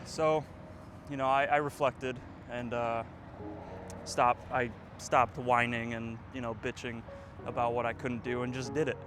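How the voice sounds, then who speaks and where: A man's voice: medium pitch, level tone, a little slow, over music. A man in his twenties vlogging outdoors, rambling and reflective, with lots of fillers. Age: 20s. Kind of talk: casual reflective vlog. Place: outdoors, windy.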